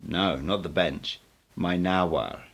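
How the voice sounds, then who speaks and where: A man's voice: sits low, slow, creaky, fairly bright tone, husky, heavily acted. A man voicing a playful, assured, cryptic male mystic teacher in an audiobook, speaking in emphatic declarations. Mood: assured, instructive. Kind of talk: audiobook character dialogue.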